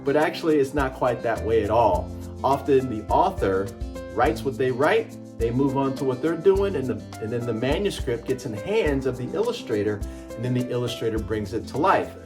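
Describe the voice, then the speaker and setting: A man's voice: medium pitch, animated, normal speed. Man reading aloud over faint music. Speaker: children's book author and poet. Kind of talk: read-aloud picture book presentation with commentary.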